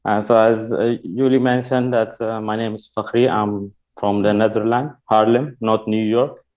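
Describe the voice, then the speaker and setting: Male comic from Sudan, non-native English, halting, pause-filled delivery. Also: fairly low pitch, steady tone, darkish tone. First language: not English. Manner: halting, many "uh" pauses, searching for words. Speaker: stand-up comedian. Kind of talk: stand-up set.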